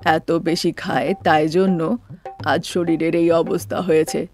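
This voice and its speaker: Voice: medium pitch, level tone, a little slow, soft background music, lightly acted. A voice actor playing a worried, complaining mother-in-law in a storybook cartoon.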